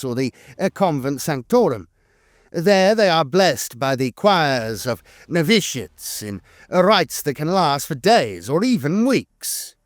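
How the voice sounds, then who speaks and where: A man's voice: a little high, dry recording, studio quality. A man narrating scripted lore in a solemn, formal and dramatic way.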